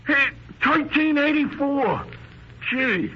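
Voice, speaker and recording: A man's voice: extremely high pitch, big pitch swings, slow, exaggerated voice. An actor playing a dim, naively impressed gangster henchman talking slang in a 1930s radio drama. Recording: dull, faintly noisy 1930s radio recording.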